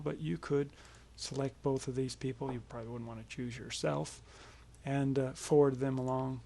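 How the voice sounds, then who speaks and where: A man presenting a software tutorial as a casual screencast walkthrough, explaining things informally in a creaky voice. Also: mid-range voice.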